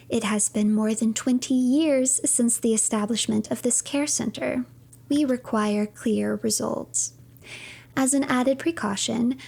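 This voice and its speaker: A woman game streamer delivers dramatic story narration and reads documents aloud. Her voice is fairly high, with plenty of creak and a breathy, husky edge. The delivery is theatrical and deliberate. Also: warm tone.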